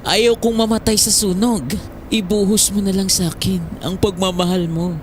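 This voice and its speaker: A man's voice: high voice, fairly even intonation, normal speed, natural voice. A young man with a Filipino accent acting an easygoing, teasing male student in a conversational audio drama. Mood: playful, teasing. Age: young adult. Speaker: voice actor. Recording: clearly noisy home-style recording.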